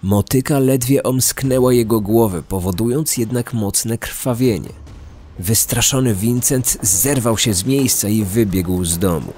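A man narrating a scripted true-crime story in a measured, serious way. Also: lowish voice, a little mellow, a little hiss or hum. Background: background music.

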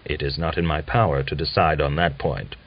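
A man's voice: a bass voice, steady tone, fairly quick, husky, voice pushed down. A man voicing a terse, sceptical Cossack commander in an audiobook novel. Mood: curt, sceptical. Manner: terse, reserved. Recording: dull lo-fi audiobook recording.

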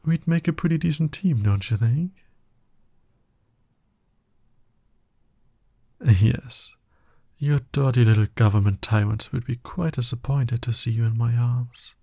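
ASMR roleplay villain, played by a man: male, smug and teasing, breathy low-key delivery, very dry close sound. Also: darkish tone, no room sound. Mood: teasing, seductive. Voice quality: husky, breathy. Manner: slow, condescending, teasing. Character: smug, seductive, dominant male villain courting his hero nemesis.

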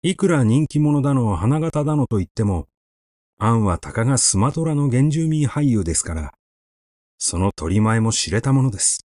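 A man reading a literary story aloud in a measured, expressive, conversational storytelling manner, close to the microphone. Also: mid-range voice, dry recording. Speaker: audiobook reader.

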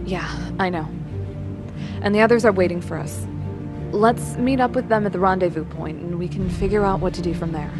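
Music plays behind an actress voicing a serious young heroine in an audio drama. Her voice sits low, and she speaks decisively, keeping a level head. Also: brisk, lightly acted. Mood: wary, resolute. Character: level-headed, decisive young female escapee with powers.

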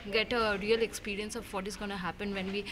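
A woman student giving an interview soundbite earnestly, with a few hesitant pauses. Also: medium pitch, level tone.

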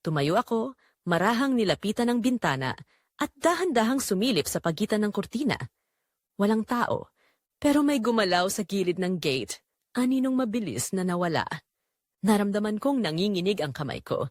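A woman's voice: medium pitch, very animated, moderate pace, no echo. A woman narrates a first-person thriller in a suspenseful, emotive style. Her intonation moves widely, and the recording is dry with no room sound. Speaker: audio drama narrator.

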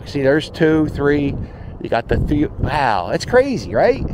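A man's voice: fairly high pitch, huge pitch swings, slow pace. Rambling man outdoors in wind. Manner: rambling, self-talk, half-finished sentences. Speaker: vlogger exploring abandoned piers. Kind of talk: casual walking commentary. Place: outdoors on a windy riverside pier. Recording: outdoor wind and ambient noise.

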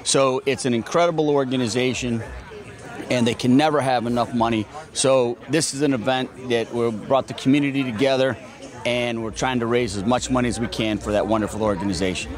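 A man's voice: medium pitch, fairly even intonation, fairly quick. A man answering interview questions earnestly, explaining the charity his firm sponsors. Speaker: law firm partner and charity board member.

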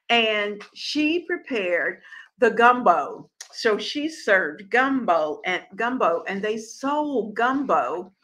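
An older woman hosts a home cooking show and tells a story to her viewers. She speaks slowly and deliberately, with pauses and filler words, in a bright, forceful tone. She is recorded on a webcam in a room at home. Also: mid-range voice. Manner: slow, measured storytelling with many pauses and fillers. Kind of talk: casual talk to viewers.

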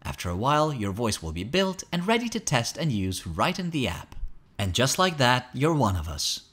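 Man narrating a tutorial with lively intonation. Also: mid-range voice, normal speed, breathy edge. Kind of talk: scripted promotional tutorial narration.

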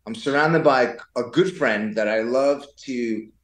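A man's voice: mid-range voice, unhurried, a bit bright, echoey room. A man in his forties telling stories casually as a podcast guest, playful, joking and prone to digress. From born in Taiwan. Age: 40s. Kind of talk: casual conversational storytelling. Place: indoors in a pool house.

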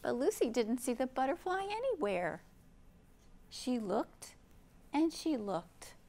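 A woman in her fifties hosting a children's storytime, speaking gently and expressively in simple phrases, with questions for young listeners. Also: sits high, sing-song, slow pace, creaky. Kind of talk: read-aloud storytime for young children, with chat and song. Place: indoor library program room.

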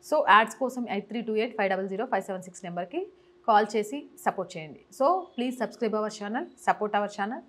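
Female journalist host, 30s, fast emphatic news read, lapel mic, small studio. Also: mid-range voice, a bit bright. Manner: brisk, emphatic newsreader delivery. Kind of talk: news presentation to camera.

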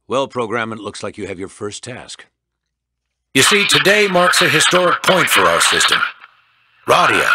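Stern male game warrior program, bright forceful voice, lively pitch, barking orders. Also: slightly rough, dry recording, exaggerated voice. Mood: urgent, commanding. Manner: commanding, earnest, clipped orders. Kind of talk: scripted video game voice acting.

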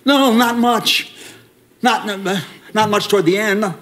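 An older American man playing a flustered, defensive husband with a halting, stammering delivery in old-time radio drama style. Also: high-pitched, fairly bright tone. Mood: uneasy. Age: older, 70s or 80s. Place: live staged reading in a meeting room.

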